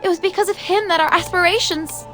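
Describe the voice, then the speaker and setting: A caring but resolute young heroine of a fantasy game, talks with earnest drama. Her female voice sits very high, over faint music. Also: steady tone, fast, a bit bright. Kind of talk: dramatic video game voice acting.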